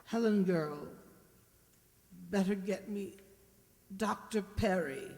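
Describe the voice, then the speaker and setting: An actress playing an ailing, stern older stepmother in a radio play, with halting, weighty delivery. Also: medium pitch, over music. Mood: weak. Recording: echoey hall, long reverb.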